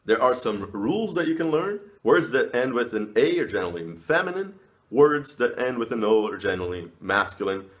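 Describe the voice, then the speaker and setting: A man's voice: mid-range voice, big pitch swings, brisk. A man from Brazil, explaining language learning in a friendly, casual talk to camera. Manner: friendly, explanatory, with small laughs. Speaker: language video host.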